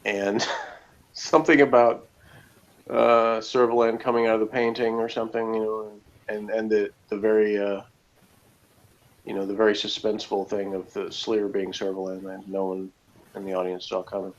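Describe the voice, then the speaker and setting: A man's voice: fairly low pitch, little pitch movement, normal speed, muffled recording. A man chatting casually as a panelist on a review show, rambling and peppering his talk with "like" and "you know". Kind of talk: casual opinionated chat.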